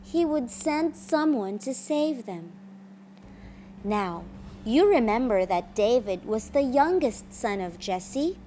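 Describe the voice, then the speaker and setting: Female children's storyteller: unhurried, dramatic read-aloud, higher register. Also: lively intonation, dark tone, a little hiss or hum. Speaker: storyteller, teacher.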